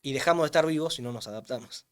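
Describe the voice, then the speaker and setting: A man's voice: medium pitch, rapid-fire. A man, a strength coach who explains things emphatically in an interview, repeating words for weight. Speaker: strength coach for older adults, guest. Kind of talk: interview answers.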